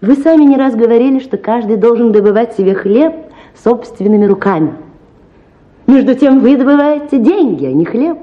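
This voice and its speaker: A woman's voice: on the high side, animated, normal speed, phone-quality sound, close to an everyday voice. A woman playing a spirited, dreamy young lady idealist in a theatrical radio drama, effusive and self-assured. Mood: eager, assertive.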